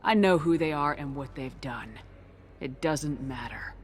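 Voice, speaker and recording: Low-voiced, steady woman commander. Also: a little slow, slight background noise. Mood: firm. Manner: steady, reassuring, firm. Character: steady, protective female military commander, calm and firm. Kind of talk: dramatic video game acting. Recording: music under the voice.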